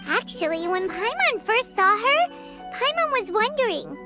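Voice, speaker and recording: Squeaky, very high-pitched childlike fairy. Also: female voice, very animated, moderate pace. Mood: curious. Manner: refers to self in third person, exclamatory. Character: small excitable childlike fairy companion, female. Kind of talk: anime game character acting. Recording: loud background music.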